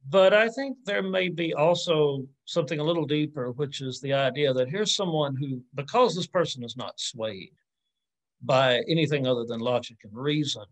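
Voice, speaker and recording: Thoughtful, halting man in his forties. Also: a little high, rough recording. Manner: thoughtful, halting, hedging, self-correcting. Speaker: academic lecturer answering audience questions. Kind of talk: informal question-and-answer talk to camera. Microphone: computer mic on a video call.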